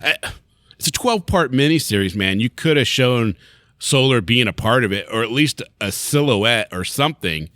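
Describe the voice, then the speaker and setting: A man's voice: mid-range voice, animated, normal speed, fairly bright tone, dry recording, clean studio sound. A man co-hosting a podcast, chatting casually about comics, rambling and swearing, laughing often. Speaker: podcast co-host.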